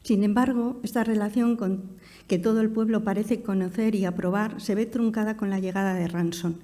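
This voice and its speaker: A woman's voice: mid-range voice, fairly even intonation, moderate pace, dark tone. A woman reads an academic paper aloud in a formal way, posing rhetorical questions as a conference speaker. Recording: reverberant hall.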